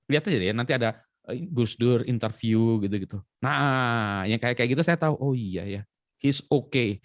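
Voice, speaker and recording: A middle-aged man from Indonesia, an expert guest explaining in an animated way with lots of examples and fillers. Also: mid-range voice, normal speed, no echo, highs cut off. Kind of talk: conversational interview explanation.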